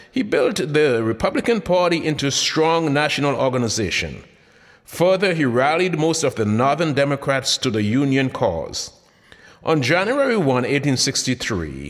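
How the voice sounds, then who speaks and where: Guy reading a motivational audiobook, really animated pitch, deliberate and punchy, big hall reverb on it. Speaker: author reading own audiobook. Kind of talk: scripted motivational audiobook narration.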